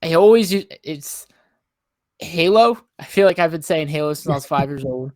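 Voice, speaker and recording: A man's voice: on the high side, lively intonation, normal speed, no room sound. A young man co-hosting a podcast in casual chat, explaining things in an informal, rambling way. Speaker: podcast co-host. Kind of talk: casual pop-culture chat.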